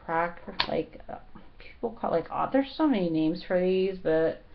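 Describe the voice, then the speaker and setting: Woman beauty vlogger, casual and halting, lots of fillers, unhurried, a little hoarse, muffled lo-fi sound. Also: fairly low pitch, animated. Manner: rambling, filler-heavy, halting. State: slightly hoarse. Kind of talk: casual makeup tutorial. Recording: dull lo-fi home recording.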